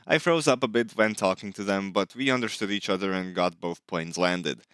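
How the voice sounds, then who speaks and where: Guy doing a gameplay recap voiceover, lowish but bright voice, really dry sound. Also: normal speed, clean tone, no echo. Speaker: video narrator, flight sim hobbyist. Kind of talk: scripted voice-over narration recapping gameplay.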